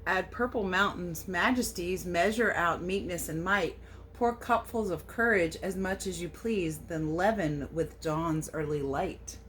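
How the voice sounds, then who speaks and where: A woman teacher reading a picture book aloud to children, expressive and sing-song, with casual questioning asides. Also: on the low side, measured pace, slight background noise, low-quality recording. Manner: animated, questioning, sing-song verse delivery.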